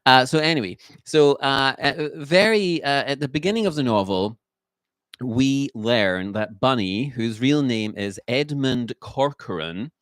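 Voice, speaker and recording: A man giving an unscripted book review in a halting way, full of fillers and restarts, a little croaky from a cold. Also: mid-range voice, lively intonation, fairly bright tone, dry recording. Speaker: book reviewer, channel host.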